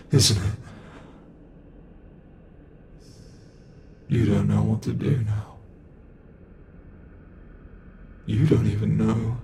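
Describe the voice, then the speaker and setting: A British man playing a sadistic predatory monster in a dark horror ASMR roleplay, taunting and mocking his prey with drawn-out menace. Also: mid-range voice, a little hiss or hum. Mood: cruel mock pity.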